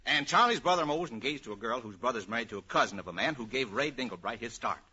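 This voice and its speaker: An actor playing a blustering, boastful wheeler-dealer, rambling and self-important, in an old radio comedy. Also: male voice, medium pitch, expressive, fast talker, bright, forceful tone, breathy edge, heavily acted. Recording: muffled old radio recording.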